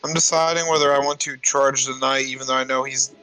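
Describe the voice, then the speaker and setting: A man's voice: mid-range voice, flat delivery, fast talker, sharp tone, smooth, poor audio. A man playing a tabletop game, chatting casually and informally with some swearing. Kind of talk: casual chat.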